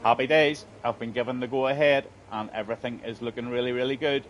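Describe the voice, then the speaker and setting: Brief: middle-aged male sim racing commentator, mid-pitched, relaxed and chatty, voice slightly tired, light background hiss. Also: brisk, rough recording. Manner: chatty, friendly, low-key commentary. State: slightly strained voice. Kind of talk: live stream race commentary.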